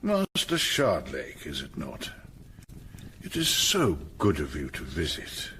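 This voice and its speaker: Radio drama tyrant: menacing old king, forceful, very animated, mock-courteous, faint background noise. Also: rumbling low, takes it slowly, exaggerated voice. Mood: mocking menace. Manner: imperious, mockingly courteous. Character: huge, ailing, menacing old Tudor king.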